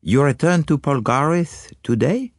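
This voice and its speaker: A man's voice: fairly high pitch, expressive, unhurried, no room sound, studio quality, pitched above the performer's own voice. A man reading an audiobook, voicing a fussy, courteous foreign male detective who speaks precisely and drops French phrases. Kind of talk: audiobook character voice.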